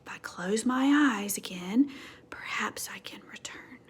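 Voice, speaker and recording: A woman voicing a drowsy, grumbling old man in a storybook, slow, breathy and wistful. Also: fairly high pitch.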